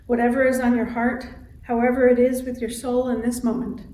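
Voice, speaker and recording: Woman, high-set mellow voice, solemn liturgy reading, distant roomy recording, faint noise. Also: flat delivery, brisk. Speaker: congregation president and guest worship leader. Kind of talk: scripted church service welcome and liturgy.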